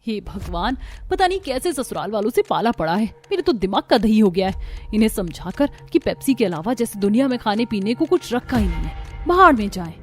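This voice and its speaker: A woman's voice: medium pitch, very animated, a little fast, soft background music, lightly acted. A woman voicing an earnest, easily exasperated young new daughter-in-law in a cartoon story.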